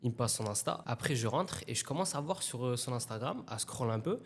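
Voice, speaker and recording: A man in his twenties, a guest from Italy, telling a story in a relaxed, slangy way in a casual interview. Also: medium pitch, brisk, slightly rough. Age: 20s. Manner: relaxed anecdotal telling, slangy, with acted quotes.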